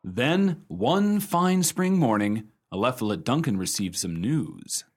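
Man playing lively, wry American raconteur. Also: medium pitch, slow pace. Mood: teasing, storytelling. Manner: playful, theatrical. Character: wry, jovial American male raconteur telling friends a ghost story. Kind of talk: radio play storytelling.

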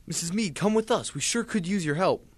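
A man's voice: high-pitched, expressive, a little fast, breathy edge. A man playing an earnest, reasonable teenage student in a radio drama, pleading and reassuring.

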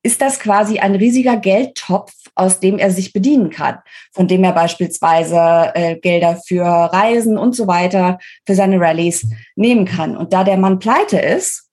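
A woman speaking as an interview guest, giving opinionated, explanatory political analysis in a conversational way, pausing between phrases. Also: mid-range voice, darkish tone, slightly rough.

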